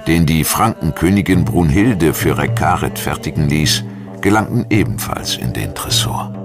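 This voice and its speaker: A man with a deep, low voice narrates a historical documentary. His delivery is measured, formal and solemn, reading from a script. Faint music plays behind him. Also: expressive. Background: background music.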